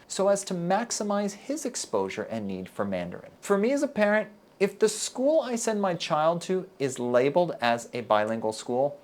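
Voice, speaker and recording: A man from Texas, a native English speaker and university lecturer, giving a measured, earnest scripted talk to camera. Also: fairly high pitch. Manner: measured, earnest, deliberate delivery.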